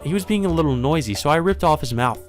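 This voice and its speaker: Visual novel vampire schoolgirl, played by a man: raised pitch, chirpy, pleasant, quietly murderous. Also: lively intonation, fast talker, faint music behind, lightly acted. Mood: cheerful, apologetic. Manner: pleasant, delighted delivery of grim words. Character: cheerful teenage vampire girl, sweetly menacing killer. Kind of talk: visual novel character reading.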